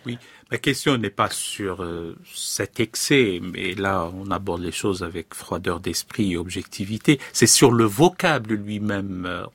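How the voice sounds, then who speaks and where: A man's voice: deep voice, expressive, a little slow, breathy edge, dry recording. A man hosting an interview, adding short glosses and posing follow-up questions to his guest. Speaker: host.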